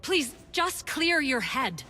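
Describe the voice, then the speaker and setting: A protective doctor in a game cutscene, calm and professional but firm when it counts. Her voice is bright and somewhat high, with a long reverb and a faint hiss around it. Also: takes it slowly, soft background music, exaggerated voice. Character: caring, firm woman doctor and therapist.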